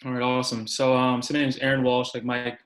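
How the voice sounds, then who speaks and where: Young male guest from Maryland on a video call, flat even delivery, relaxed, filler-heavy. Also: mid-range voice, fast. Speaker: basketball coach, guest. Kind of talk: casual interview answers.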